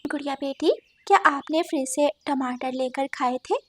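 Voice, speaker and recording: Woman as a high-voiced gentle mother bird. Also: moderate pace, lightly acted. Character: mother sparrow, gentle and homely.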